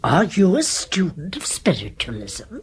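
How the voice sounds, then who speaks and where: An actress playing a genteel, crafty British spinster sleuth in a radio drama, with fussy, precise and effusive diction. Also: female voice, fairly low pitch, huge pitch swings, measured pace, breathy edge, exaggerated voice. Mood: feigned polite curiosity.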